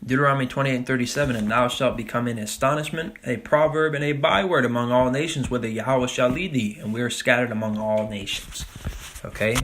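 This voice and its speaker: A man teaching a Bible study, talking casually with frequent "all right?" and reading scripture passages slowly and deliberately. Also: medium pitch, steady tone, a bit bright, slight background noise. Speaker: religious teacher.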